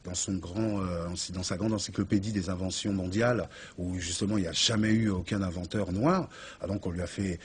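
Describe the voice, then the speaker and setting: A man's voice: low-pitched, fairly even intonation, fairly quick, slight background noise. A man answering as a guest in a studio interview, hesitant and searching for his words. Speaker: interview guest, association representative.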